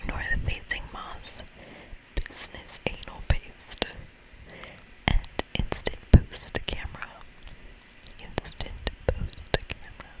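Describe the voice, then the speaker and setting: This is an ASMR host playing through a video game, speaking entirely in a whisper. The delivery is very slow and soft, with an unhurried, chatty feel. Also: rough recording.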